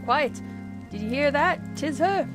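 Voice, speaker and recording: A woman's voice: sits high, animated, unhurried, music in the background, pitched above the performer's own voice. A woman voicing a superstitious old sailor man in dramatic, piratical dialect, reading out game dialogue. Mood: urgent, excited.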